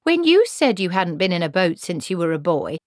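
A woman's voice: lowish voice, big pitch swings, brisk, dry recording, clean studio sound. A woman voicing a brusque, stubborn old witch in a storybook, with blunt, folksy, commanding speech. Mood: dry, calm.

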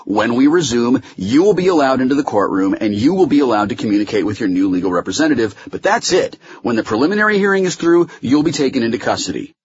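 A man's voice: mid-range voice, sing-song, quick pace, few pauses, slightly rough, lo-fi sound, voice pushed up. A man voicing a stern, impatient female judge in an audiobook, formal, curt and cutting. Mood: stern, final.

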